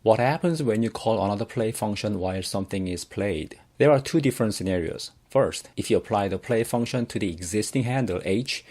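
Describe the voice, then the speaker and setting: Male tutorial narrator, lowish pitch, plain step-by-step screencast explanation. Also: lively intonation, brisk, hardly any pauses, a little mellow.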